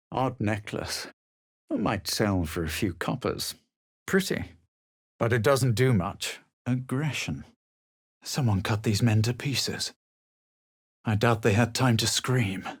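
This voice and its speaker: A man playing a haughty, self-assured young adventurer in a fantasy video game, speaking in a measured, theatrical way. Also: medium pitch, a bit bright, no echo, studio quality. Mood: wary, aloof. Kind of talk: dramatic video game voice lines and narration.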